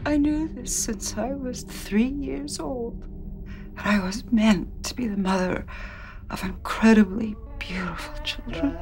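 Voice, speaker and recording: Slow, high-voiced bitter wife. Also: female voice, animated, darkish tone, a little hiss or hum. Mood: wistful, bitter. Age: middle-aged. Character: huge, bitter, grieving middle-aged wife. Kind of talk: animated film drama acting. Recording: music behind the voice. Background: music.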